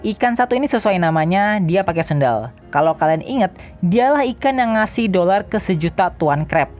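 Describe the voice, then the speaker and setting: A woman's voice: mid-range voice, expressive, brisk, highs cut off. A woman narrates a countdown video in a casual, slangy, jokey way. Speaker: video host. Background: background music.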